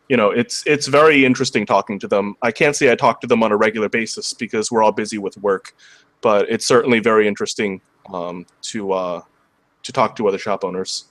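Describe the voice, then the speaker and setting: Slightly hoarse man chatting casually. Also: on the low side, fairly bright tone. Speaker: interview guest, cube shop seller and video maker. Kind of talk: casual livestream chat.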